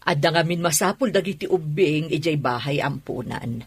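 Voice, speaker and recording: A woman's voice: sits low, lively intonation, moderate pace, slightly rough, exaggerated voice. An actress with a Filipino Ilocano accent playing an earnest, grateful woman in a radio comedy-drama.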